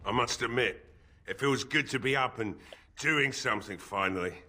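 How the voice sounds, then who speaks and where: An actor playing a gruff, world-weary, hard-drinking blacksmith in a fantasy video game, grudging and plain-spoken. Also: male voice, medium pitch, moderate pace, pushed and bright. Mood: weary, obliging.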